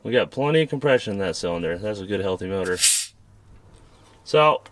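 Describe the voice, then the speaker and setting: A man's voice: on the low side, lively intonation, a little fast, very noisy. A man hosting, casually explaining car repair step by step in a laid-back, rambling way, outdoors by a vehicle. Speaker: host and mechanic.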